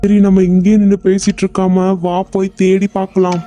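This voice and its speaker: A performer voicing a worried, practical husband in a cartoon, speaking in a flat, plain manner with a Tamil accent. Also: mid-range voice, normal speed, dull audio, soft background music, close to an everyday voice. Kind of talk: cartoon voice acting.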